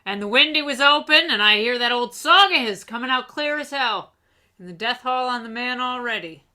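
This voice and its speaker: A woman's voice: a little high, animated, moderate pace, pushed and bright. A woman playing a superstitious, fearful pirate sailor in a radio play, with salty dialect. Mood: grim, uneasy.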